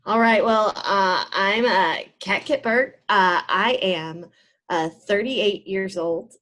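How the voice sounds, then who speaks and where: A woman's voice: mid-range voice, takes it slowly, fairly bright tone. A woman playing a self-important 38-year-old aspiring influencer, rambling with fillers. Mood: pretentious. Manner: rambling, pretentious, many fillers. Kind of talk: improv comedy sketch.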